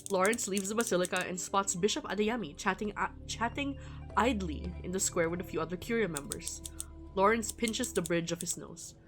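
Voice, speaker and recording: A woman streamer with a mid-pitched voice plays through a visual novel over background music. She reads the text aloud, then breaks into casual, irreverent asides with a giggly, slangy manner. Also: fairly quick. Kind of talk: livestream let's play, reading game text aloud with casual reactions.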